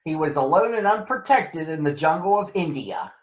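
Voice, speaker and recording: An earnest, protective panther, played by a man, narrates a storybook jungle tale. The voice is measured and a little high. It sounds roomy, with slightly muffled lo-fi audio. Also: animated, close to an everyday voice. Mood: earnest storytelling. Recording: roomy echo, dull lo-fi sound.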